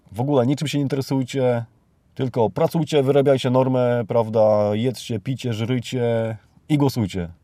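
A man's voice: medium pitch, mellow, no room sound. A man from Poland hosts a podcast, speaking off the cuff in an ironic, rambling commentary full of rhetorical tag questions. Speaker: podcast host. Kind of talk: unscripted spoken commentary, monologue.

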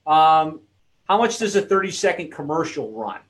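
A man's voice: fairly high pitch, very animated, moderate pace, fairly bright tone. A man hosting a live webinar who reads out viewers' comments and wraps up the session in a friendly way. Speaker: webinar host.

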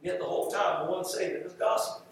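A man's voice: high voice, steady tone, fairly quick, vocal fry. A man preaching a church sermon in an emphatic, repetitive rhetorical cadence. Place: church sanctuary with audience. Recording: echoey room, distant mic, faint noise.

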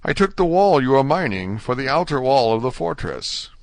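A man voicing a mysterious older male prisoner in a storybook, subdued, measured and sorrowful. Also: medium pitch, very animated, dry recording, voice pushed up.

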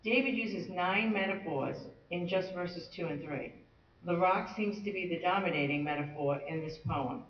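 A man's voice: high voice, normal speed, slight background noise. A man teaching a Bible study lesson in an earnest, conversational way with touches of light humor. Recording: roomy, distant, dull-sounding.

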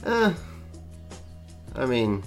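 A man's voice: mid-range voice, very animated, extremely slow, faint music behind. Congested man rambling on a podcast. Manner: rambling, joking, many fillers. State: congested from allergies. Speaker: podcast co-host. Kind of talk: casual chat.

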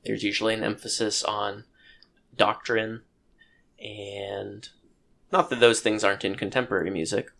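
A man with a low voice co-hosting a podcast, talking thoughtfully with many pauses and restarts. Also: little pitch movement, creaky, a bit bright. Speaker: podcast co-host. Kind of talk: casual chat.

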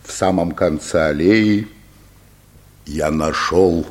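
An actor playing a grave, anxious manservant in a radio play, speaking in a halting, solemn manner. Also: male voice, low voice. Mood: grave, subdued. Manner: slow, halting, solemn delivery. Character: grave, anxious manservant bringing news of death.

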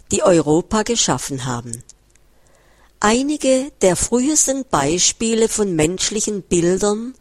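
Slow, measured woman reading aloud. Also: mid-range voice, a little mellow, no room sound. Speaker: audiobook reader. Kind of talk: scripted first-person narration read aloud.

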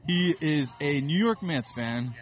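Male co-host with a lively, slightly raised voice, playful and teasing. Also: on the high side, a little slow. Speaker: podcast co-host. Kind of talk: casual chat.

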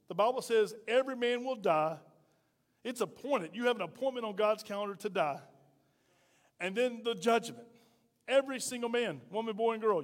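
A middle-aged man preaching a sermon as pastor, emphatic and folksy, with repeated rhetorical questions. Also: sits high. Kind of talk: sermon to a congregation. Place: church sanctuary. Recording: reverberant hall sound.

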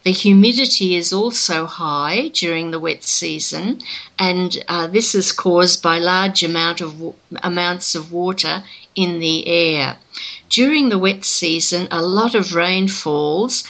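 A middle-aged Australian woman talking in a casual chat, explaining things slowly and clearly, as if to a learner. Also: on the low side, fairly bright tone. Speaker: conversation partner explaining a topic.

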